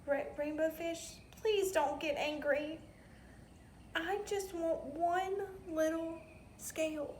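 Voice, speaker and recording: Woman as a tiny high-pitched eager fish. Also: takes it slowly. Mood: timid pleading. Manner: high, pleading, excitable. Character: small eager, polite male little blue fish. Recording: roomy echo, some background noise.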